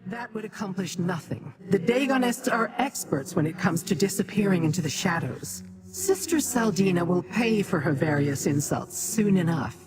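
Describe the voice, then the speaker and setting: A game actor voicing a haughty, imperious demonic being who talks down to mortals in formal, measured speech. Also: mid-range voice, sing-song, close to an everyday voice, low-quality recording. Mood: cold, scornful. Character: haughty, imperious Daedra ally, scornful of mortals. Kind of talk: scripted video game dialogue. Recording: music behind the dialogue.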